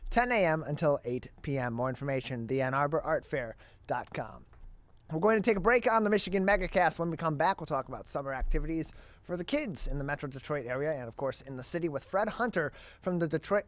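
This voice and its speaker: A man's voice: on the high side, fairly bright tone, no room sound. A young man with an American accent presenting formally as a talk show host into a close studio microphone. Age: young adult. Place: studio with curtain backdrop. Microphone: close studio mic on boom arm.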